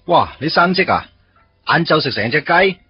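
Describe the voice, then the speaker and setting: An actor playing a wry, teasing office worker in a Cantonese radio drama, with a Hong Kong accent and a casual, grumbling delivery. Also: male voice, fairly high pitch, sing-song, moderate pace, muffled recording, faint music behind. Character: wry, teasing male office worker meeting his first love.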